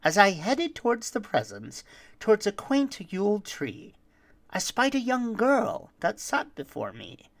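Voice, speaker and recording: A performer voicing a boastful, roguish thief who tells a rhyming holiday tale with dramatic, deliberate delivery. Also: mid-range voice, huge pitch swings, voice pushed up. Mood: sly, wistful. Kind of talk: theatrical rhymed storytelling.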